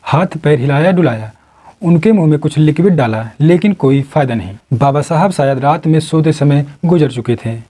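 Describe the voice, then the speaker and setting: A man tells a dramatic story from a script. His voice sits a little high for a male, with a soft, dark and mellow tone that stays rounded. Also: lively intonation, normal speed. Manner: acts out quoted dialogue within the narration. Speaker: narrator.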